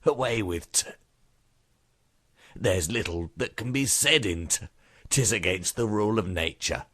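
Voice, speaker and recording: A man's voice: lowish voice, a little slow, fairly bright tone, no echo, clean studio sound. A man playing a witty, mocking rogue in a wry, argumentative Shakespearean comic monologue. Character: witty bawdy male rogue, mocking, arguing cynically.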